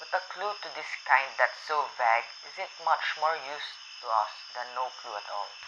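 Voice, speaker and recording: A man's voice: mid-range voice, lively intonation, normal speed, lightly acted. A young man playing an unconcerned, patronizing police sergeant in an amateur radio drama, dismissive and placating. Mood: matter-of-fact. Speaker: student voice actor in a radio play. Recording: dull lo-fi sound, faint noise.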